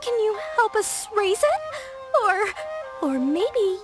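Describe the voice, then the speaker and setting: A sweet young game damsel, with a piercingly high voice that swoops dramatically. She is earnest, imploring and melodramatic, in anime style, over soft music. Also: big pitch swings, slow, exaggerated voice. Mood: distressed pleading. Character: sweet, pleading young damsel of a family business.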